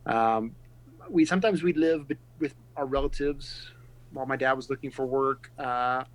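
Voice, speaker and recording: A middle-aged man from California and Texas, a pastor speaking earnestly and reflectively in a casual podcast interview, pausing often and restarting his sentences. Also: medium pitch, poor audio. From California and Texas, USA.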